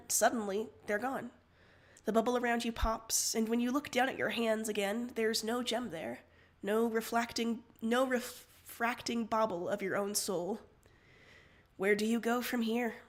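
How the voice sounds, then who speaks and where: A woman's voice: on the high side, steady tone, moderate pace. A woman co-hosting a livestream, chatting casually and reading fan fiction aloud. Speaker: livestream co-host and story reader.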